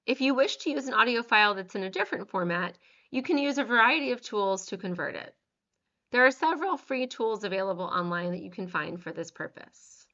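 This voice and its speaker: A woman narrates a software tutorial in a bright, crisp-edged voice at a middle pitch. She delivers scripted instructions step by step with measured, careful enunciation. The recording is slightly dull.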